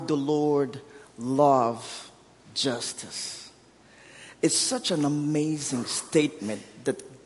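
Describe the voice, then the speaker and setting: Man, 30s, Burundian accent, Kirundi native, slow preaching, fairly high voice, long church reverb. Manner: warm storytelling with self-deprecating humor, rising emphasis. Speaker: guest preacher. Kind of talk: sermon. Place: church sanctuary.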